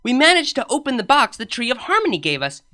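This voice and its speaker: A woman's voice: fairly high pitch, sing-song, quick pace, pitched above the performer's own voice. A woman voicing a cheerful, zealous young female pony princess in a storybook comedy, with an eager, emphatic manner. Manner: bright, eager, emphatic delivery.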